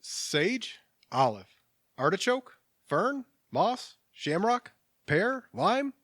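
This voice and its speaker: An actor playing a wry but kindly stranger in an audio drama, conversational and dry-witted. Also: male voice, high-pitched, sing-song, extremely slow, a bit bright, no echo, full character voice. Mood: teasing. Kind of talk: audio theatre acting.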